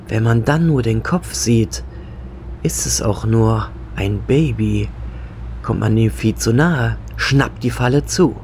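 A man's voice: mid-range voice, a little mellow, a little hiss or hum. A man playing a grim, wry monster hunter in a horror audio drama. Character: grim, wry male member of monster-hunting party. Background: faint eerie music.

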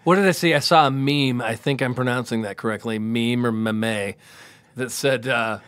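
Man, forties, podcast guest, emphatic and preachy, medium pitch, dry recording. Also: moderate pace, a bit bright, clear, resonant tone, dry recording. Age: 40s. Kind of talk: casual chat.

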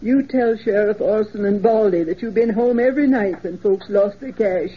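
A woman's voice: medium pitch, moderate pace, slightly rough, lightly acted. An actress playing a worried, devout frontier mother in a 1930s radio western. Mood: worried, protective. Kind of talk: 1930s radio drama acting. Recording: muffled, lo-fi 1930s radio recording.

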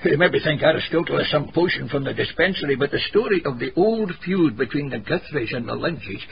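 Creaky old Scottish village cobbler. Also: male voice, a little high, animated, brisk. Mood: gossipy storytelling. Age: older. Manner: wordy, dialect-rich, pedantic storytelling. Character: venerable Scottish village cobbler, male, learned, gossipy, grave. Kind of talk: radio drama dialogue, storytelling. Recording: muffled radio broadcast, background hiss.

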